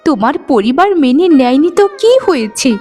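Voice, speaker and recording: A woman voicing a gentle, devoted young bride in a cartoon, tender, earnest and pleading. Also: high voice, sing-song, a little fast, soft background music. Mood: tender, consoling. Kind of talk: melodramatic cartoon acting.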